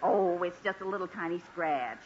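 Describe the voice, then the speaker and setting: A woman's voice: fairly low pitch, lively intonation, normal speed, natural voice. An actress playing a fussy, scolding middle-aged wife and mother, fretful and dramatic, in a 1940s radio comedy. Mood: brisk scolding. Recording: noisy, muffled old 1940s radio recording.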